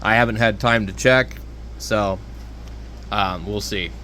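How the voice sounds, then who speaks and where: Halting, casual man with background noise. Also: on the low side, pushed and bright. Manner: hesitant, halting, unscripted. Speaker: hobbyist video host reviewing handheld radios. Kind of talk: casual rambling product talk.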